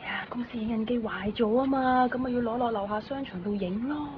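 An actress playing a casual, preoccupied young office assistant in a 1990s radio drama, with a Hong Kong Cantonese accent. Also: female voice, on the high side, brisk, close to an everyday voice. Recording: muffled radio recording, some noise.

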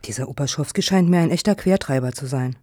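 Actress as prim committee lady, officious and formal, mellow voice, very dry recording. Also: sits low, quick pace, dry recording. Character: prim, approving woman on a foundation's jury. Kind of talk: satirical radio play acting.

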